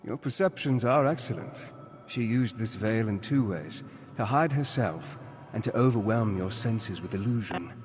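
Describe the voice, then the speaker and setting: An actor playing a solemn, regretful leader in a video game, speaking formally and measuredly. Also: male voice, on the low side, animated, normal speed, darkish tone, natural voice. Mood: grave explaining. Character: grave, regretful male mentor and leader guiding a campaign. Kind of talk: scripted video game dialogue. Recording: long hall-like reverb on the voice.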